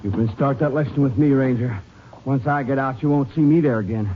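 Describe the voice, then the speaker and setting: A man's voice: mid-range voice, fairly quick, natural voice. An actor playing a defiant, hardened male convict in a 1950s radio crime drama. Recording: tinny, faintly noisy old radio recording.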